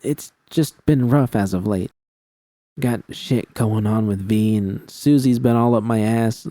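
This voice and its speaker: Man reading as a weary, guarded woman, dark-toned. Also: lowish voice, no room sound, lightly acted. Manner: defensive, weary, swearing. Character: jaded, guarded woman braindance technician. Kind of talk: audiobook character dialogue.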